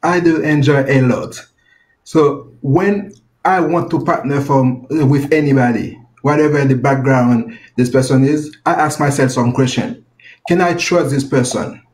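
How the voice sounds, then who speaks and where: A man's voice: medium pitch, a little slow, darkish tone, low-quality recording. A man from Haiti with a Haitian French accent and French as his first language, a financial advisor answering interview questions earnestly in simple phrases.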